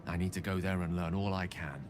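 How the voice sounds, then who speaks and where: An actor playing an eager, learned wizard who talks with slightly theatrical enthusiasm. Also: male voice, deep voice, steady tone, fairly quick, noisy background, natural voice. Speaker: game companion. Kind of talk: video game dialogue. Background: music.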